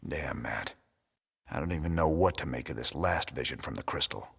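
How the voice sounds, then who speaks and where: Gruff male rebel commander, video game: deep, raspy, tired, plain-talking. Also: normal speed, fairly bright tone, exaggerated voice. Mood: troubled, weary. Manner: gruff, casual, plain-spoken. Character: world-weary, tough male space rebel commander. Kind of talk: naturalistic game cutscene acting. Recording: long reverb of a large room.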